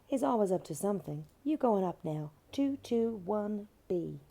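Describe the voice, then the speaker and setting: Mrs Hudson, a woman as a gruff frontier landlady. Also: medium pitch, expressive, moderate pace, dark tone. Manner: folksy, drawling, gruff. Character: blunt widowed frontier landlady. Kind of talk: audio drama acting.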